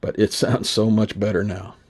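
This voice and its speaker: A man talking casually and unscripted as he demonstrates his hobby repair work, in an easygoing, halting, rambling way at home. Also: on the low side, level tone, a crowd in the background. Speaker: vintage radio repair hobbyist and video host. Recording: noisy home room recording with ambient sound.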